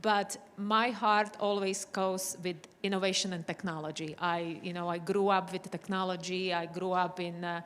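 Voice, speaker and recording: A woman's voice: fairly low pitch, steady tone, normal speed, hardly any pauses, fairly bright tone, smooth. A woman from Slovakia, a native Slovak speaker, talking unscripted on a panel with enthusiasm and emphatic repetition. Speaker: company representative on panel. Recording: reverberant conference hall.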